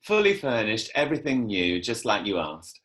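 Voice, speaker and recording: A man's voice: lowish voice, sing-song, moderate pace, a bit bright. An actor playing a laid-back, playful male companion, teasing and casual, in a scripted drama read over a video call.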